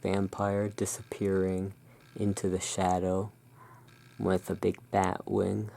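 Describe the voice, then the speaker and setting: Low creaky asmr roleplay host, super even tone, hushed n musing, describing art. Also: slow. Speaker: host of a roleplay as a curiosity emporium owner.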